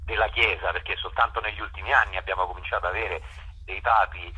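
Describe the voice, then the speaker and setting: Low, husky, very bright man. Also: steady tone, quick pace, poor audio. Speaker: interview guest, spokesman for a park conservation association. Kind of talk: radio interview, informal explanation.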